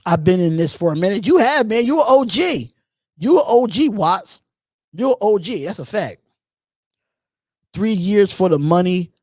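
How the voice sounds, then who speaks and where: A man's voice: sits high, very animated, darkish tone, no room sound, clean studio sound. Casual thirty-something male live-show host. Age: 30s. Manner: casual, repeats himself, drawn-out ums. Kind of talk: solo live call-in show, reading chat comments.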